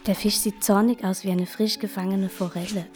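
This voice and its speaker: A woman's voice: mid-range voice, steady tone, brisk, darkish tone, faint music behind, lightly acted. An actress playing an eager, artless young country girl who chatters openly in a radio drama. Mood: eager, showing off.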